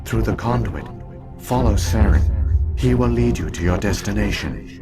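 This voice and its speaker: An ancient alien virtual intelligence in a sci-fi game, with a very deep voice and grave, measured delivery. It explains things formally and calmly, like a last witness, over clear background music. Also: male voice. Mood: calm, instructive. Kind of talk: scripted game dialogue, expository. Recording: music behind the voice.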